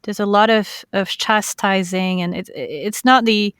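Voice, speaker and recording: A woman's voice: mid-range voice, fairly even intonation, measured pace, fairly bright tone, smooth, dry recording, studio quality. A woman who is a non-native English speaker, hosting and interviewing on a podcast in a conversational way. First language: not English.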